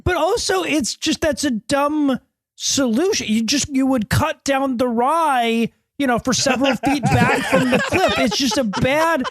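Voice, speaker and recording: A man's voice: very high voice, lively intonation, a little slow, no room sound. Wry man, very dry sound. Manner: sarcastic, wry. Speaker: podcast panelist and quiz master. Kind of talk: comedic banter.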